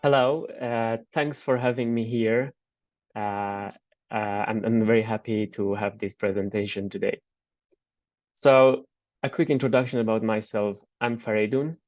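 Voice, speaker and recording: A man, a Kurdish speaker, giving an earnest online research presentation and often pausing with "uh". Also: on the low side, fairly even intonation, a little mellow, no room sound, faint music behind. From Iran. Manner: earnest, pauses with frequent "uh". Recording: dull, dry lo-fi webinar audio.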